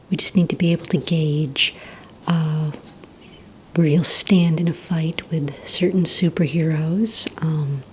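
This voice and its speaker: A woman speaks in a breathy, low whisper, playing a calm, businesslike receptionist in an ASMR roleplay. Her voice is mellow and gentle, with hesitant, unhurried phrasing. A faint rumbling noise sits behind her. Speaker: ASMR roleplay performer as a villain recruitment receptionist.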